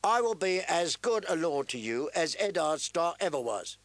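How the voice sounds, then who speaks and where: A man's voice: on the high side, lively intonation, measured pace, a bit bright, dry recording, pitched above the performer's own voice. A man voicing an arrogant young prince who mocks and threatens his captives. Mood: commanding. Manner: sneering, commanding.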